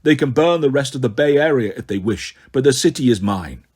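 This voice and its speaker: A man's voice: mid-range voice, animated, brisk, a little mellow, slightly rough, voice pushed down. A man voicing an imperious, menacing undead king in an audiobook, commanding and scolding. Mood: scheming, commanding. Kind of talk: audiobook character voice.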